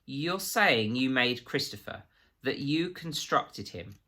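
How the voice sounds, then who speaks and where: Man acting a coldly polite, bright-voiced official interrogator. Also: mid-range voice, lively intonation, slow pace, pitched above the performer's own voice. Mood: quietly disdainful. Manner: light, conversational, precise, formal questioning.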